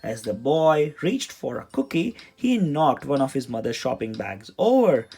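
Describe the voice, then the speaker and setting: A man's voice: medium pitch, very animated, measured pace, dark tone, husky. A man narrating a children's storybook as a read-aloud. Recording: music behind the voice.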